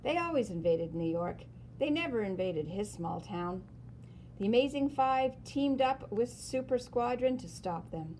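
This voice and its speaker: A woman reads a picture-book story aloud with swooping, lively intonation. Her voice is mellow and on the high side. She leans on key words and pauses for drama. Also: a little hiss or hum, poor audio. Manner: animated, dramatic emphasis and pauses. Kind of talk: expressive children's story read-aloud.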